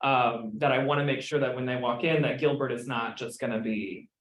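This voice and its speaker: A man's voice: mid-range voice, fairly even intonation. A man who teaches Italian, talking earnestly in a roundtable and saying "you know" often. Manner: fast, earnest, uses "you know" a lot. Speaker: graduate Italian instructor. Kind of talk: roundtable discussion. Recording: echoey room.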